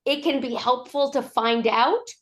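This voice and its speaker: A middle-aged woman executive coach with a raspy voice from a cough, answering interview questions in lively storytelling. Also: high-pitched, unhurried. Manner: animated storytelling, acts out quoted lines.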